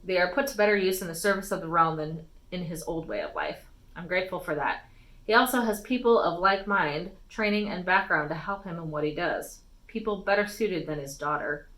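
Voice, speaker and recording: A woman voicing a stern, vehement warrior noblewoman and mother in a storybook, speaking emphatically and deliberately. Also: on the low side, a bit bright, natural voice, poor audio. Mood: firm.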